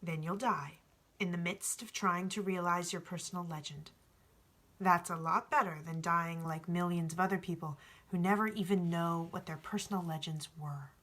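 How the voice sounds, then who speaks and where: Woman reading as a hushed, wise male alchemist. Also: on the low side, fairly even intonation. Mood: stern, instructive. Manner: hushed, measured, gently instructive. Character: calm, wise, cheeky male alchemist mentor. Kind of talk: storybook read-aloud.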